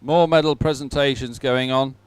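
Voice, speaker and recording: A man giving live sports commentary at a regatta in an informal, halting way with hesitant pauses. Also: mid-range voice, steady tone, clean tone.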